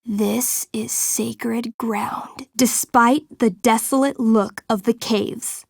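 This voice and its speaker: A woman's voice: on the high side, steady tone, slow pace, creaky, dry recording, clean studio sound, heavily acted. An actress voicing a young woman game master in a video game, narrating a tabletop adventure theatrically with playful, casual touches. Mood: suspenseful. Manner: theatrical, suspenseful storytelling with casual asides.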